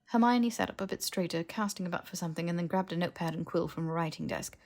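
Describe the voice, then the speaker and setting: A woman's voice: on the low side, quick pace, darkish tone. Woman narrating a fiction audiobook.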